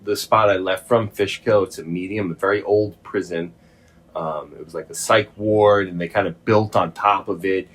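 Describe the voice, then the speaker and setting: Husky low-voiced man, casual and halting. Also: steady tone, fairly bright tone. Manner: halting, informal, sweary. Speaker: host, former prisoner. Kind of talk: casual phone conversation.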